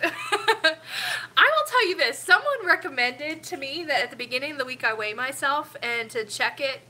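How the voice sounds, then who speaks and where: A woman's voice: high-pitched, animated, moderate pace, sharp tone. A middle-aged woman with a stuffy, sniffly nose, rambling casually with lots of ums while hosting a family live stream. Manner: rambling, chatty, with frequent ums.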